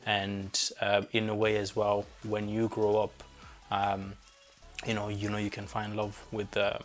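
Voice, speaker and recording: A young man from Rwanda, raised in Belgium, talks reflectively in an interview with light music behind him. His voice sits a little low and barely moves in pitch. He gropes for words as he shares his view. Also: monotone, a little slow. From born in Rwanda, grew up in Belgium. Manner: hesitant, searching for words.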